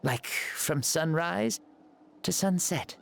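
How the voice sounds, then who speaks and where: A man's voice: fairly high pitch, slow pace, gravelly, fairly bright tone, slight background noise, full character voice. An actor playing an ancient founder god returned in a boy's body for a game story, playful and wistful with a breezy storytelling manner. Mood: playful, casual. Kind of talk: scripted game story dialogue.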